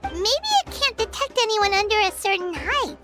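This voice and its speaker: Squeaky, very high little fairy girl. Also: lively intonation, normal speed, over music. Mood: bright, chatty. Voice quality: squeaky, childlike. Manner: exclamatory, refers to herself in third person. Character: small excitable childlike fairy companion girl, cheerful and cheeky. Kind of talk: video game character acting.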